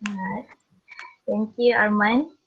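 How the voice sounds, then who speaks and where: A Malaysian woman lecturer calling the roll in an online class, brisk and quick to laugh. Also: medium pitch, steady tone, vocal fry, slight background noise. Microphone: video call. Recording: muffled lo-fi call audio.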